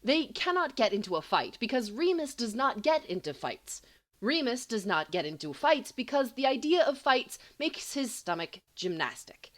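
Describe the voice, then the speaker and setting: Animated woman narrating fiction. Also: fairly high pitch, normal speed. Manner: expressive, dramatic storytelling. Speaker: audiobook reader.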